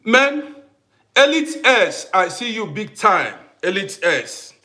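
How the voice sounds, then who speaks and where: A man hosting a casual livestream who greets viewers by name, repeats himself, and swings between loud bursts and quiet asides. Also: sits high, very animated, takes it slowly. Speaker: livestream host.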